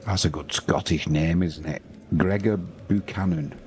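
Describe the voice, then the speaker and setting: A man in his fifties with a British accent, hosting a live football stream, chatting slowly and reading out scores in an unhurried, relaxed way. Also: sits low, slight background noise. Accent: British English. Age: 50s. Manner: slow, unhurried, chatty, reads scores aloud. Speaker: football commentator and host.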